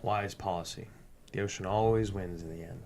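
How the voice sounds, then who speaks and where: A man's voice: deep voice, fairly even intonation, normal speed, a little mellow. A man playing a cynical, crude diver for hire in a script table read, blunt and dismissive. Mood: teasing. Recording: faint background noise.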